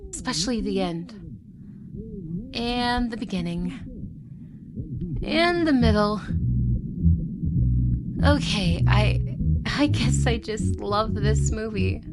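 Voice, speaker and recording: A woman's voice: medium pitch, animated, slow, pauses often, over music. A woman playing a cheerful, chatty elf who loves Christmas and passes as a human roommate, in an ASMR roleplay. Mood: cozy, cheerful. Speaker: roleplay voice actress.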